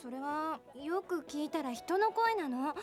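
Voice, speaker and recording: A flighty, nosy young woman with a very high, girlish voice. Her chatty radio drama delivery swings up and down in pitch, coaxing and gossipy, with music faintly behind her. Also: expressive, measured pace. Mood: excited gossip. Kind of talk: radio drama acting, casual conversational Japanese.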